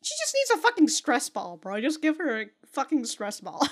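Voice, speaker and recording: A woman with a somewhat high voice hosts a casual reaction video. She comments in loose slang, blunt and joking, and swears freely as she goes. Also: huge pitch swings, moderate pace.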